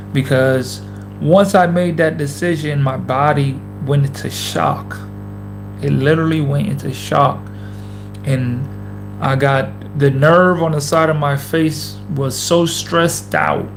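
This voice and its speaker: A man in his thirties talks straight into his camera for a casual vlog. His voice sits on the higher side and carries a gravelly rasp. He hammers his points home with repetition and slang, with soft music playing underneath. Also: low-quality recording. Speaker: host, former pro basketball player. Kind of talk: casual motivational vlog talk. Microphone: camera mic.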